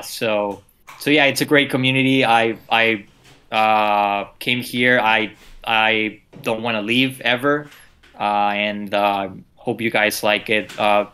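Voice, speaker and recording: A man's voice: mid-range voice, pushed and bright. A man talking casually on a community video call, full of restarts and fillers. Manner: hesitant, many restarts. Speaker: community member doing onboarding.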